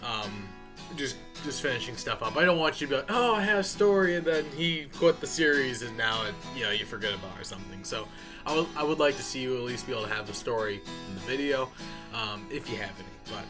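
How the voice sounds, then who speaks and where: A man's voice: fairly low pitch, quick pace, over music. A man giving a casual, unscripted update as a channel host, talking informally in a folksy way and addressing viewers as y'all.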